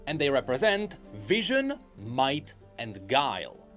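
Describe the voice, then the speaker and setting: A man's voice: mid-range voice, big pitch swings, slow, a bit bright, faint music behind. A man narrating a scripted commentary video about game character lore, eager and explanatory.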